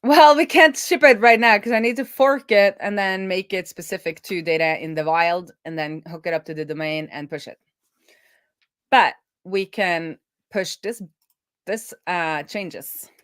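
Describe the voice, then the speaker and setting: Woman, low voice, casual coding commentary, informal, thinking out loud, close dry sound. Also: a little slow, dry recording. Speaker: live-streaming web developer and host.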